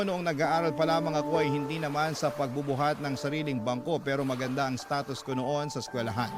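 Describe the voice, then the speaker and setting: Guy reading a sad letter on radio, earnest tone, loud music behind. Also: fairly high pitch. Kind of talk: scripted narration.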